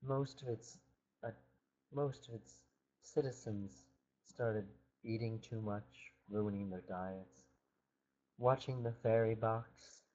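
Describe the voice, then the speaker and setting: A man's voice: on the low side, steady tone, takes it slowly, warm tone. Man telling an improvised fairytale, slightly muffled. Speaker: storyteller.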